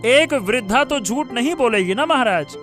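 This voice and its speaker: A man's voice: very high-pitched, lively intonation, normal speed, a bit bright, faint music behind. A man voicing a fawning, scheming royal minister in a cartoon story, stammering and wheedling as he talks. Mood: coaxing. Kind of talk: Hindi cartoon story voice acting.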